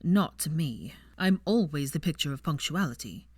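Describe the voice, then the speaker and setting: A woman voicing a proud, guarded young man, a wizard in an audiobook, in a measured, formal manner. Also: deep voice, animated, darkish tone, breathy edge, no echo, clean studio sound. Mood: dry. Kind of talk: audiobook character voice.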